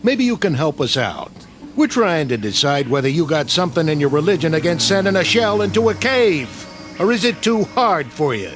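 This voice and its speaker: Casting: gruff frontline sergeant, weary and wisecracking, heavy sarcasm, radio war drama with music underneath. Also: male voice, on the high side, sing-song, normal speed, a bit bright. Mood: angry sarcasm. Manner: sarcastic, slangy, gruff soldier talk.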